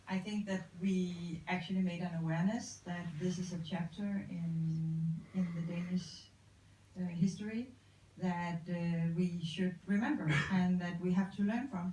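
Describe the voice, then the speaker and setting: A woman speaking earnestly at a film Q&A, measured and thoughtful, heard from afar in a cinema. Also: on the low side, dark tone, a little hiss or hum. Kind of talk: post-screening Q&A answer. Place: cinema auditorium. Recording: echoey hall, distant, faint ambience.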